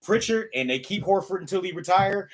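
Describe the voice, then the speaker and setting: A man's voice: high-pitched, animated, brisk, crowd noise. A man co-hosting a casual sports talk show, laid-back and often checking in with "you know what I'm saying.". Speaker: co-host.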